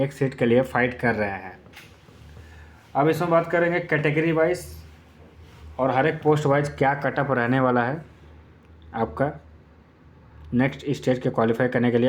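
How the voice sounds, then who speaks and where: A man gives an informal exam-prep explainer, going step by step with repeated points and filler phrases. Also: mid-range voice. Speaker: exam-prep YouTube presenter.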